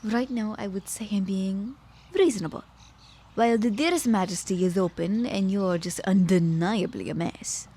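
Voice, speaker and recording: A woman's voice: mid-range voice, moderate pace, dark tone, husky. Woman playing a sly, theatrical immortal witch. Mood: mocking. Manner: playful, mock-courteous, theatrical delivery. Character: clever, teasing immortal witch vixen, theatrical and haughty. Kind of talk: audio roleplay acting.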